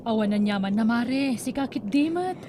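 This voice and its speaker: A woman's voice: on the high side, animated, moderate pace, background noise, natural voice. A woman playing a kind, devout neighbor who comforts others with prayer in a radio drama. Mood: soothing. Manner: soothing, prayerful. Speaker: voice actor.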